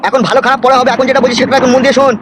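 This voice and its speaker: A man's voice: extremely high pitch, little pitch movement, rapid-fire, over a noisy crowd, heavy background noise. A man voicing a corrupt, bullying village politician, bossy and foul-mouthed, in a comic cartoon. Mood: urgent, commanding.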